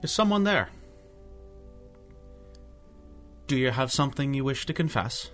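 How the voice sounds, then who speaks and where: Actor as an earnest, concerned parish priest. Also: male voice, mid-range voice, lively intonation, quick pace, a bit bright, soft background music, lightly acted. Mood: grateful, cautious. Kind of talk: radio drama acting.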